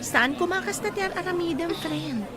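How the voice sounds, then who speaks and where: A woman plays a young party friend with an Ilocano accent, coaxing in a high voice. A loud crowd roars behind her. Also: expressive, moderate pace.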